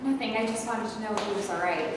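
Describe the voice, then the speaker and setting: A woman's voice: medium pitch, quick pace, natural voice. An actress playing an earnest, polite, worried teenage schoolgirl in a staged play reading, speaking plainly. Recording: echoey hall, far mic, noisy.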